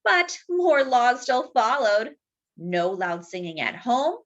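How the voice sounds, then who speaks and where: A woman's voice: fairly high pitch, highs cut off. A woman storyteller reading a picture book aloud expressively, stretching key words and chatting to young listeners. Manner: animated, drawn-out emphasis. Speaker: children's storyteller.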